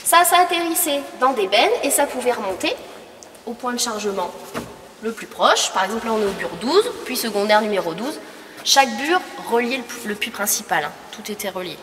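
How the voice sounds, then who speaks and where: A woman's voice: on the high side, expressive, a little fast, fairly bright tone, far from the mic. A tired woman with a cold, guiding a group through a museum and quizzing them with questions. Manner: explanatory, quizzes visitors with questions. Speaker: museum tour guide. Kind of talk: guided tour explanation to a group. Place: indoor mining museum hall. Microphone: far camera recording a group tour. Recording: echoey hall, voice from afar, noisy.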